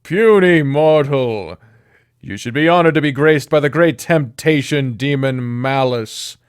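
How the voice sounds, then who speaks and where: A man's voice: medium pitch, unhurried, clear, resonant tone, close to an everyday voice. A man voicing a pompous, easily offended tiny male snake demon with haughty, dramatic delivery.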